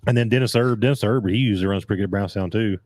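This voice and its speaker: A man's voice: lowish voice, rapid-fire, a little mellow, dry recording. A man hosting a casual racing talk show, his voice gone a bit scratchy, rambling and restarting often as he reads out comments. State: voice getting scratchy. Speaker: podcast host. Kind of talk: casual chat about dirt track racing.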